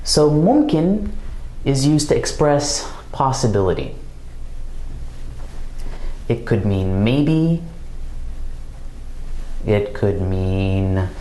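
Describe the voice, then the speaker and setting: A man giving a recorded language grammar lesson, explaining slowly and carefully with example phrases. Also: lowish voice, mellow, reverberant, a little hiss or hum, poor audio. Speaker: language teacher.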